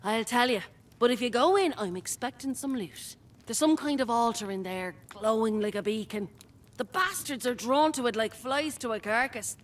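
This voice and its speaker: A cocky female rogue scout from a fantasy game. Her voice sits slightly high, and she talks bluntly, with open scorn. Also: fairly bright tone. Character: brash, foul-mouthed, mercenary female rogue and scout. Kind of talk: video game character dialogue.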